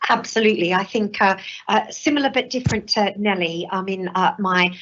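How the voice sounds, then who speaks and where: A woman from Australia, a managing director answering interview questions in a confident, articulate, measured way. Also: medium pitch, steady tone, fairly bright tone, slight background noise. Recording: dull lo-fi audio.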